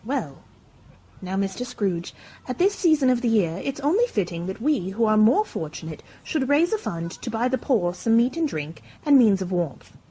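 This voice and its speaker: An actress playing a genteel, earnest Victorian charity collector in a radio drama, polite, formal and persuasive. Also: female voice, a little high, sing-song, moderate pace, dark tone, breathy edge, poor audio.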